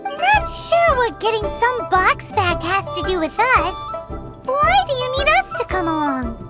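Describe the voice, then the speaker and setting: A woman voicing a tiny, childlike fairy companion in a video game, squeaky, blunt and excitable, over music. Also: measured pace, full character voice. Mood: puzzled, curious. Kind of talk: cartoonish video game voice acting.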